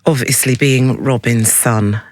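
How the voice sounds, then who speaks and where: A man's voice: mid-range voice, steady tone, unhurried, dry recording. A man hosting a radio interview in a studio, speaking in a direct, effusive, emphatic way. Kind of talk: broadcast interview chat.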